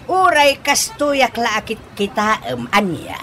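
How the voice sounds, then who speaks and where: A man's voice: very high-pitched, big pitch swings, measured pace, sharp tone, slight background noise, heavily acted. A man playing a giddy, boastful, lovestruck old father in a radio comedy drama, with exaggerated, giggly delivery.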